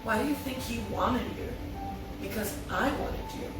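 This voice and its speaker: A woman's voice: on the high side, moderate pace. A woman reading character lines from a script in a casual dramatic way, in her everyday voice. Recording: heavily echoing, distant mic, background music. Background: music.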